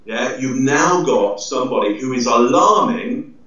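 A middle-aged man explaining body language as an interview guest, emphatic and animated, checking in with "yeah?" as he talks. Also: mid-range voice, unhurried. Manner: emphatic, gestures a lot, stands and moves around, tags lines with "yeah?". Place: indoor room with large window. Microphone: video call, webcam at distance. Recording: roomy echo, distant, dull webcam sound.

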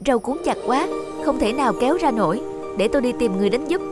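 A woman voicing a kind, helpful young sister in an animated fairy tale, eager and concerned. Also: a little high, fast, pitched above the performer's own voice, natural voice. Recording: music under the voice.

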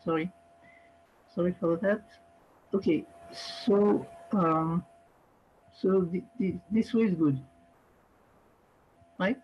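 A woman's voice: lowish voice, slow pace, pauses often, a little hiss or hum. A woman giving a remote conference presentation through a headset mic, speaking hesitantly with many fillers and restarts. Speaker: panel speaker presenting a project. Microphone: headset microphone. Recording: distorted, muffled video call audio.

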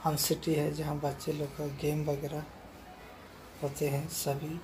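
Slow, flat-voiced man touring a mall. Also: fairly high pitch, noisy background. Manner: repetitive, addresses viewers as friend. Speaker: vlogger giving a walking tour. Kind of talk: casual walking tour narration. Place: outdoors and inside a large shopping mall.

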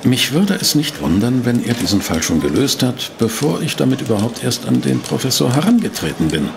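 An actor playing a courteous, inquisitive newspaper reporter in a German audio drama, speaking politely and formally. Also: male voice, medium pitch, sing-song, brisk, gravelly, background noise. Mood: curious, courteous. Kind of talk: German audio drama acting and first-person narration.

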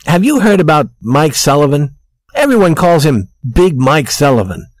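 A woman's voice: sits low, sing-song, moderate pace, dry recording, pitched above the performer's own voice. A woman voicing a humble, earnest man in love in a storybook. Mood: proud. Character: humble, earnest little man in love, proud of his friend.

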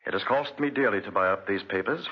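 An actor playing a cruel, obsessive, vengeful wealthy gentleman in a 1940s radio drama, with an imperious, theatrical delivery. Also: fairly low pitch, fast talker, husky. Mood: grave, controlled. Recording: muffled vintage 1940s radio recording.

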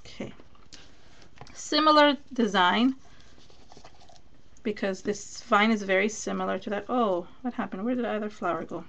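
A woman from Venezuela whose English carries a Spanish accent, talking her way through a live craft demonstration. Her voice has a noticeable gravelly fry, and she chats easily, thinking aloud and replying to viewers as she works. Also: medium pitch, fairly bright tone, a little hiss or hum. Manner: chatty, thinks aloud while working, answers viewer comments.

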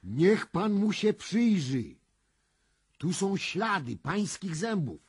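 An actor playing a self-assured, probing clairvoyant investigator in a radio drama, speaking in a formal, deliberate and emphatic husky voice. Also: male voice, high-pitched, very animated, no room sound, studio quality, exaggerated voice. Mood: pressing, probing.

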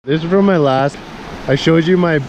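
A man's voice: fairly high pitch, moderate pace. A young man vlogging casually on a forest hike, joking and laughing with friends, filmed on a handheld action camera outdoors. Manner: laid-back, laughing, joking. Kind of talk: casual hiking vlog. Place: outdoors on a forest trail by a creek. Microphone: action camera held at arm's length. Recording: loud outdoor noise on camera mic.

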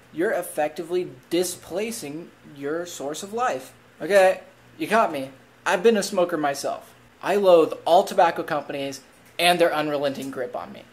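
Young guy, 20s, webcam vlogger, slightly raspy from a cold, upbeat and chatty with jokey asides. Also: a little high. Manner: conversational, joking asides, upbeat. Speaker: vlogger, commercial diver. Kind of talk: casual explainer vlog. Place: small room at home, indoors.

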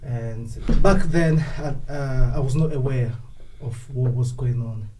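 A man in his late twenties from Congo, telling his personal story as a podcast guest, hesitant and halting. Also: medium pitch, noisy background. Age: late 20s. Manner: hesitant, halting with many uh fillers. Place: studio set with wooden slat wall. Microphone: desk mic on stand.

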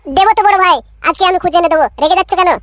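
A man's voice: very high voice, very fast, fairly bright tone, dry recording, studio quality. A man voicing a placating, blunt henchman of a local politician in a comic cartoon. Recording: music behind the voice.

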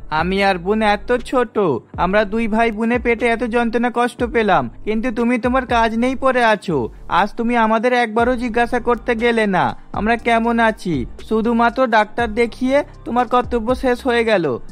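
A man's voice: extremely high pitch, moderate pace, faint music behind. A man voicing a hurt young boy in a cartoon, complaining reproachfully.

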